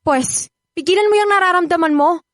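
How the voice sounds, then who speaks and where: A woman's voice: extremely high pitch, a little fast, dry recording, studio quality. An actress playing a firm, hurt young woman rejecting her ex in a Filipino radio drama, emphatic and exasperated. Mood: hurt, angry. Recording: music bed behind the voice.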